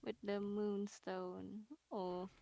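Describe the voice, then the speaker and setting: A woman's voice: medium pitch, flat delivery, extremely slow, creaky, warm tone, no echo. A woman chatting casually as a player in a tabletop game.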